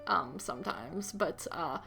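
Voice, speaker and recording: A woman's voice: deep voice, animated, a little slow, gravelly, sharp tone, breathy edge, soft background music. A woman co-hosting a movie podcast, chatting casually and informally with somewhat hesitant phrasing.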